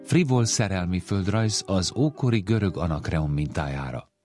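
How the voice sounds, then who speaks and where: Low-voiced man reciting over guitar. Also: lively intonation. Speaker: reader of poetry. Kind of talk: literary poetry recital.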